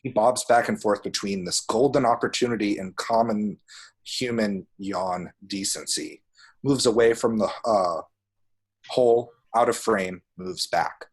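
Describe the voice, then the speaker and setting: A man reading screenplay action descriptions aloud at a table read, with a husky voice. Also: on the low side, measured pace.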